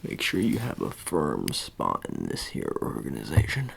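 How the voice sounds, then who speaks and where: A man doing soft-spoken ASMR roleplay as a shady sports agent, talking in a halting, casual, coaxing way and repeating his phrases. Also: rumbling low, huge pitch swings, gravelly, slightly rough.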